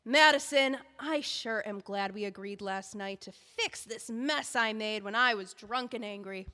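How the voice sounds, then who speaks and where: A woman's voice: medium pitch, a little slow, sharp tone, big-room sound. A woman playing a pushy, scheming book editor in an audio drama, talking in a bossy, breezy, persuasive way.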